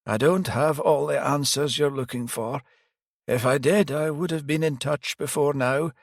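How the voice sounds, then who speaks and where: A man voicing an elderly priest who speaks gently and slowly, with sorrow, pitched well above his own voice. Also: no room sound, clean studio sound. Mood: sorrowful. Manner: soft, slow and sighing, higher than the narrator.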